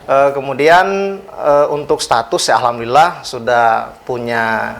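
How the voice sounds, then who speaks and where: Sex: man. Origin: South Sulawesi. Role: interview guest. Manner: polite, measured, pauses to search for words. Pitch: middle. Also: gravelly. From South Sulawesi, Indonesia. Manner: polite and measured, many hesitant fillers. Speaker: guest, youth organisation official. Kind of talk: interview talk.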